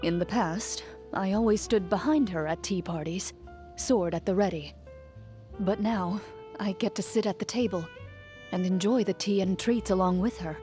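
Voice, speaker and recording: A woman playing a composed, dutiful young female champion duelist in a video game, speaking in a formal, measured, reserved way. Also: medium pitch, darkish tone, close to an everyday voice. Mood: calm, fond. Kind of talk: video game character voice lines, reflective monologue. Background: music under the voice.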